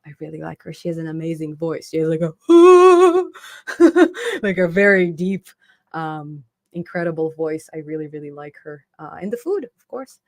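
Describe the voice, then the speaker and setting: A woman's voice: deep voice, big pitch swings, normal speed, no echo. A young woman in her twenties hosting a live stream, chatting casually and upbeat as she reads out viewer comments, laughing often.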